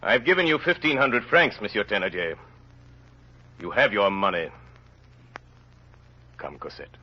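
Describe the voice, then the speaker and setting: Low, resolute stranger, old radio. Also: male voice, very animated, husky, exaggerated voice. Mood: firm. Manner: measured, terse, firm. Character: resolute, mysterious male traveler, quietly commanding. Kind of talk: old-time radio drama acting. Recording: muffled vintage radio broadcast.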